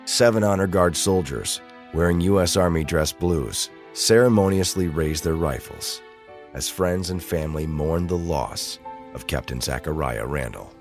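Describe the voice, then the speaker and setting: A man's voice: deep voice, unhurried, faint music behind. A man giving scripted, dramatic narration as the narrator of an audio drama.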